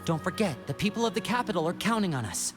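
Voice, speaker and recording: A woman's voice: lowish voice, big pitch swings, quick pace. A woman playing a polite, decisive young archer magician, with game music behind. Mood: resolute.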